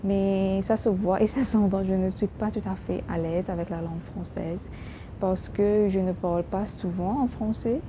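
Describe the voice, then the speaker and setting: A woman vlogger speaking French with a strong non-native accent as an English speaker. She talks halting and earnest, restarting her sentences often. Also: mid-range voice, steady tone, background noise. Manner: halting, many restarts and fillers. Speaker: YouTube vlogger. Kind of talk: casual talk to camera.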